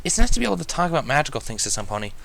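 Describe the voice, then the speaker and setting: A man's voice: a little high, sing-song, rapid-fire, gravelly, a bit bright, slight background noise, voice pushed up, exaggerated voice. A man voicing a fussy, exasperated young female unicorn scholar in a story reading. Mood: matter-of-fact. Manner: pointed, fussy, protesting.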